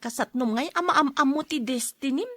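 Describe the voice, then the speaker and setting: A woman's voice: high voice, normal speed, bright, forceful tone, no echo. A woman playing a chatty, teasing young niece in a Philippine radio drama, playful and nagging. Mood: pleading.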